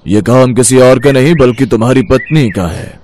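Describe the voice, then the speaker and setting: A man's voice: mid-range voice, a little mellow, slight background noise, lightly acted. A man voicing a solemn, authoritative forest holy man in a storybook tale. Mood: grave. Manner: measured, authoritative.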